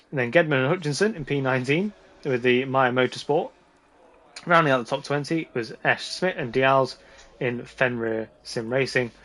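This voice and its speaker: A man with a slightly hoarse voice giving casual, informal live commentary on a sim race. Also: medium pitch, a bit bright.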